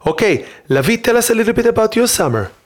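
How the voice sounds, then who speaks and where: A performer playing a childlike, boastful talk show host with an Israeli accent, speaking earnest, halting, repetitive broken English. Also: medium pitch, very animated, lightly acted. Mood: curious, earnest. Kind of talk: comedic children's talk show hosting and storytelling.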